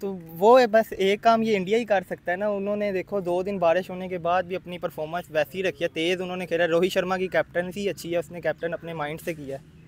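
A young cricketer from Pakistan answering questions outdoors at a ground, leaning on the same filler phrase again and again. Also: male voice, sits high, little pitch movement, quick pace, slight background noise. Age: young adult. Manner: repeats the filler phrase often. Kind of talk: casual interview answers. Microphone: handheld mic.

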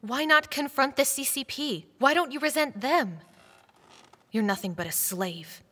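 A woman's voice: sits high, lively intonation, unhurried, sharp tone, full character voice. A woman playing a devout, defiant middle-aged wife in a dubbed stage drama, earnest, preachy and challenging. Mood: indignant, reproachful. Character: devout, defiant wife pursued for her faith. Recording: reverberant hall-like sound.

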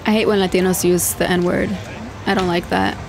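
A woman's voice: lowish voice, little pitch movement, normal speed, gravelly, music in the background. A woman hosting a reaction video, blunt and chatty, giving her opinions plainly. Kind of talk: casual reaction commentary.

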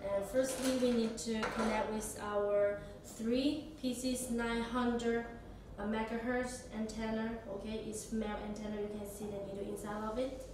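Young woman w doing a halting product demo, super echoey room, noisy background. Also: fairly high pitch, flat delivery, recorded from a distance. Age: young adult. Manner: halting non-native English phrasing. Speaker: product demonstrator. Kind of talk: instructional product demonstration. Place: small indoor office or meeting room.

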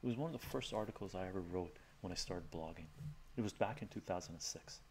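A middle-aged man, Armenian by birth, chats in a relaxed, rambling way with fillers, as a livestream host in his home study. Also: deep voice, fast, slight background noise. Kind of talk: casual soft-spoken chat with viewers.

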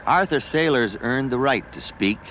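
A man's voice: mid-range voice, animated, moderate pace, fairly bright tone, slight background noise, rough recording. A man reading scripted narration as the narrator of a documentary.

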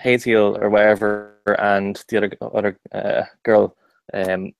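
A man's voice: fairly low pitch, level tone, measured pace, vocal fry. A young man, chatting casually as part of a book club discussion.

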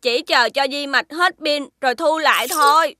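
A woman voicing a magical boy friend who lives in a spaceship, calm and sensible with a gently scolding manner, in a dubbed cartoon. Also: sits high, moderate pace, no echo, clean studio sound. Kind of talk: cartoon dubbing.

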